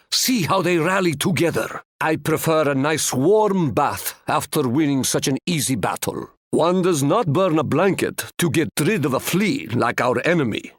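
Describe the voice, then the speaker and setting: A man's voice: on the high side, no room sound, exaggerated voice. Game ally: courteous Ottoman sultan, formal proverb-laden delivery, slightly raised voice, dry close recording. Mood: advising. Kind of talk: video game voice lines.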